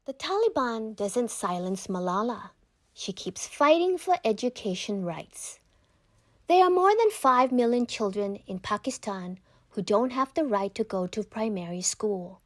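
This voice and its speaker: A woman reads a children's book aloud in a fairly high voice. Her intonation moves up and down a lot, and she phrases each line deliberately and expressively, as a scripted narrator. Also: dry recording, clean studio sound.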